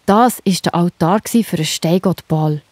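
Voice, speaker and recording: A woman's voice: on the low side, measured pace, a little mellow, no room sound. A woman with a Swiss accent narrating a children's Bible story, explaining simply and speaking directly to young listeners.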